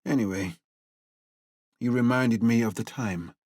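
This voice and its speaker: An actor playing a wry older police detective with formal, old-fashioned phrasing in an audiobook drama. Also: male voice, medium pitch, a little slow, a little mellow, no room sound, studio quality, natural voice. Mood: wry, scheming. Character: older male police detective, wry, theatrical, outwardly cordial. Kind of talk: full-cast audiobook drama acting.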